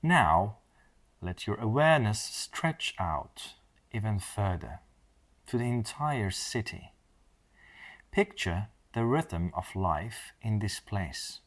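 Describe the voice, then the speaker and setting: A man leading a scripted guided meditation in a soothing, measured manner, speaking in short phrases with long pauses. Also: on the low side, big pitch swings, a bit bright.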